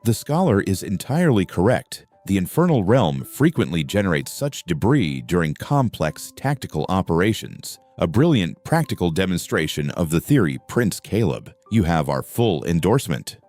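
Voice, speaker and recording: A man playing an ancient, suave demon lord chancellor in an audio story, smooth, stately and quietly amused. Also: deep voice, very animated, moderate pace, hardly any pauses, no echo, faint music behind. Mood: amused approval.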